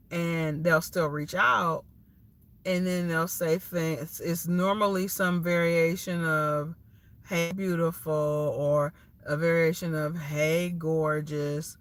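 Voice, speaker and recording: A woman's voice: on the low side, fairly bright tone. A woman in her thirties, a little nasal from a cold, talking casually in a live vlog with many ums and restarts while she waits at a food place. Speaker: lifestyle vlogger.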